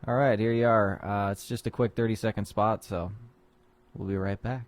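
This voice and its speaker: A man's voice: lowish voice, fairly even intonation, moderate pace, dry recording. A man co-hosting a loose live-stream talk show, rambling and self-mocking. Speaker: co-host.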